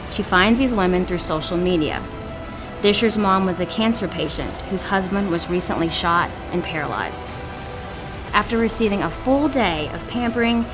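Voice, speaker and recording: A woman with a fairly low voice narrates a written tribute. She reads it as scripted narration, with music clearly playing underneath her. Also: moderate pace. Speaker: reader of nomination stories. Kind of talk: scripted narration read aloud. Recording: prominent music bed under the voice. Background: background music.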